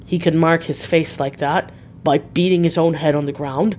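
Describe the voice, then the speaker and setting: A narrator plays a working-class male asylum attendant from a gothic storybook. He is bewildered and talks in homely, colloquial phrases, at a middling pitch, over a clearly noisy, rumbling recording. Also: fairly even intonation, normal speed, darkish tone, natural voice. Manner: colloquial, puzzled.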